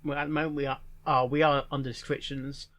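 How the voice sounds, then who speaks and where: A man giving casual reaction commentary in a halting way, repeating phrases and musing aloud in questions. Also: mid-range voice, little pitch movement, fairly bright tone. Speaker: reaction video host.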